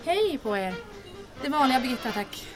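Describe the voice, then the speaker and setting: An actress playing a confident, quick-witted, sociable woman with an encouraging manner in a comic radio drama. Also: high voice, very animated, over music. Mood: breezy.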